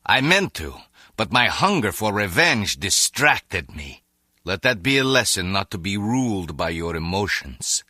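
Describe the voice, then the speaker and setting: A man's voice: on the low side, big pitch swings, a little slow, pushed and bright, no echo, studio quality, heavily acted. A man playing a ruthless, menacing villain crime boss in a video game, giving commands. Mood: cold, commanding.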